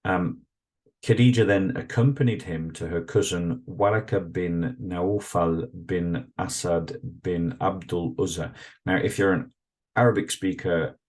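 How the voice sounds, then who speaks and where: A man's voice: low voice, a little slow, darkish tone. A man reading passages aloud and commenting on them in a thoughtful, hesitant way, with frequent fillers and restarts. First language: English. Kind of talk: reflective solo commentary with read-aloud passages.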